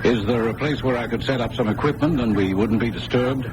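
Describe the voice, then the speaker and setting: A man's voice: mid-range voice, a little fast, close to an everyday voice. An actor playing an eager, emphatic male professor who investigates the paranormal in a radio drama. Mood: eager, probing. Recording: noisy old radio broadcast recording.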